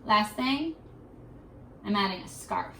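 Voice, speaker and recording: A woman teacher leading a read-aloud lesson for young children, in an animated, questioning, sing-song way. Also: medium pitch, slow, vocal fry, a little hiss or hum. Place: classroom-style room. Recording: echoey room, distant mic.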